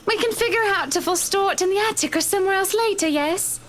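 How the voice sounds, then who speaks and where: Very high-voiced, firm wife. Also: female voice, fast talker, slight background noise. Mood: firm, practical. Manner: polite but firm. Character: poised, firm wealthy wife in visual novel.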